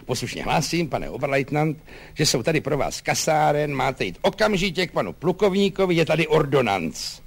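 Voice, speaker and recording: Storybook soldier servant, male performer, cheerful simpleton, obsequious and chatty, colloquial, very animated intonation. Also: on the high side, vocal fry, full character voice. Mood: dutiful. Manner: deferential, folksy, colloquial rambling.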